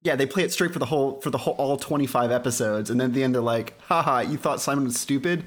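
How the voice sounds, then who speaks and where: A man in casual podcast conversation, talking in an animated, sarcastic way and quick to laugh. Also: medium pitch. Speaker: podcast co-host discussing anime. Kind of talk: casual chat.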